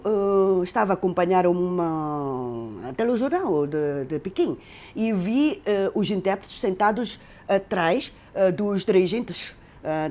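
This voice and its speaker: A woman's voice: medium pitch, warm tone. A middle-aged woman from Macau, an interpreter telling her story in an interview, hesitant with many fillers. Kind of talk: interview recollection.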